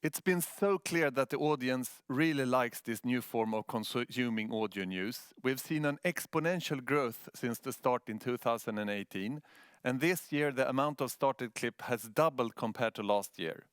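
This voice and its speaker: Swedish man presenting, clear and dry-sounding. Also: mid-range voice, normal speed, no echo. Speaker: news editor presenting a project. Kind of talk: prepared presentation.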